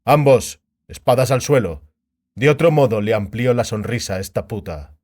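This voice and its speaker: A man's voice: mid-range voice, animated, moderate pace, darkish tone, natural voice. A man voicing a venomous, mocking half-elf thug in a dramatized fantasy audiobook. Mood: threatening. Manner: menacing, mocking.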